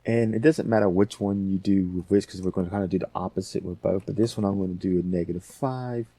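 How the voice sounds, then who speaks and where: Male tutorial host, low-pitched and dark in tone, casual and rambling, explaining each step. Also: fairly even intonation, fast, no echo. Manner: rambling, thinking aloud. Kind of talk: casual software tutorial, talking through steps.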